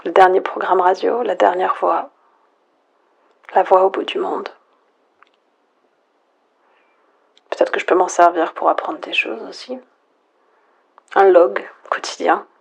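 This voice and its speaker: A woman acting out the last woman alive in an analog horror radio log, lonely and afraid. Her voice is low, husky and heavily creaky, often sinking into a whisper. She speaks haltingly, as if thinking aloud to herself. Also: fairly even intonation, heavily acted. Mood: searching, pleading. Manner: halting, introspective, murmured. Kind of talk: hushed monologue, analog horror audio log.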